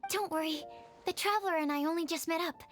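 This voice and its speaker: A woman's voice: extremely high pitch, fast, fairly bright tone. A woman playing a polite, earnest, bookish young woman researcher in an anime video game, speaking carefully. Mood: gently concerned. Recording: background music.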